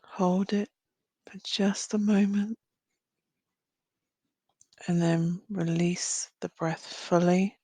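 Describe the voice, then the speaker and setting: A woman leading a guided visualisation as a meditation guide, speaking gently in short phrases with long pauses. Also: on the low side, fairly even intonation, husky, dry recording. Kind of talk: guided visualisation, slow soothing narration.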